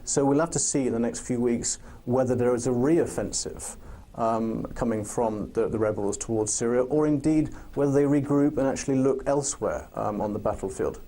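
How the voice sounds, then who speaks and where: A man speaking as a pundit in a political panel discussion, pausing to think and repeating phrases for emphasis. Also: mid-range voice, dark tone.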